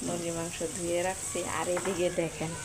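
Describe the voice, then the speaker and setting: A woman's voice: lowish voice, level tone, brisk, slight background noise. A woman with a Bangladeshi accent narrating her daily life at home in an informal, chatty, colloquial way. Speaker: home vlogger. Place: at home, kitchen and balcony.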